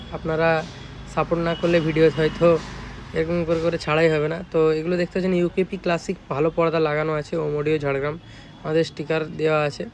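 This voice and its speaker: Higher-voiced Bengali man, flat delivery. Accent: West Bengal Bengali. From West Bengal, India. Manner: informal, repeatedly checks with listeners. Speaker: speaker repair shop owner and presenter. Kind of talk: casual product showcase vlog. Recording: noisy, with vehicle and outdoor ambience.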